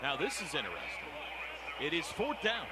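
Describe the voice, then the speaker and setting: A man's voice: a little high, wildly swooping pitch, fairly quick. A man with an American accent calling football play-by-play live on the radio in clipped running descriptions. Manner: clipped running descriptions, building to shouts on big plays. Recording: radio broadcast over loud stadium noise.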